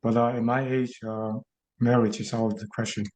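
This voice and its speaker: A man in his sixties on a dating show, speaking in a measured way with fillers. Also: medium pitch, flat delivery, darkish tone, rough recording. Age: 60s.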